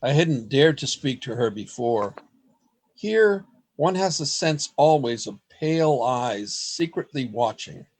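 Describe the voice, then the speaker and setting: A man's voice: mid-range voice, animated, slow, a little mellow. A man acting an earnest, lovestruck and fearful poet in a radio play, with formal, theatrical phrasing, recorded over a video call. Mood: confiding, anxious. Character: earnest, lovestruck, fearful male poet keeping a secret journal. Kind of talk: radio play acting, journal narration and dialogue.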